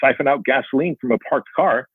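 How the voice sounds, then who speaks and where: A man's voice: medium pitch, fairly quick, slightly rough, no echo. A man in his forties who grew up speaking Armenian, telling his life story earnestly as a podcast guest. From Soviet Union, Armenian family. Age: 40s. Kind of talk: storytelling interview. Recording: dry tinny phone-like line.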